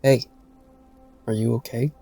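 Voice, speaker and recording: A man voicing a cocky young swordsman in a dark fantasy audiobook, with a playful, taunting manner. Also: mid-range voice, little pitch movement, measured pace, creaky, pitched above the performer's own voice. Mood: teasing. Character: cocky teasing young male fledgling swordsman.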